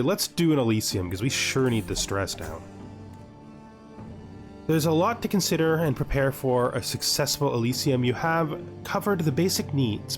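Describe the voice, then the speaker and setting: A man with a slightly high voice hosts a casual let's play, with faint music underneath. He thinks out loud about his choices and slips between his own commentary and reading game text aloud. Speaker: gaming video host, player narrating a playthrough.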